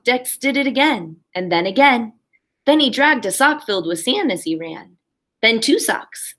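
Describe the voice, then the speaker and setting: A woman's voice: medium pitch, a bit bright. A woman kindergarten teacher reading a picture book aloud expressively for young children, with simple explanatory asides.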